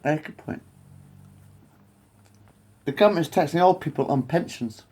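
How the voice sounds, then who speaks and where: A man's voice: on the high side, lively intonation, moderate pace, background noise. A man in his late forties rambling to camera, repeating himself, swearing and wandering off topic. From England. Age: 40s. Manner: repetitive, swears often, digresses. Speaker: vlogger.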